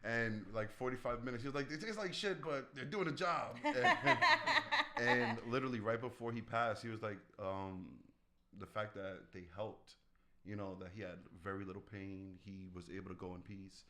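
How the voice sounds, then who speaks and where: Deep-voiced man with a booming voice. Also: moderate pace. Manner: voice booms and pops in the room, animated storyteller; slangy, laid-back. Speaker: guest, edibles business owner. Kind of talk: casual podcast interview.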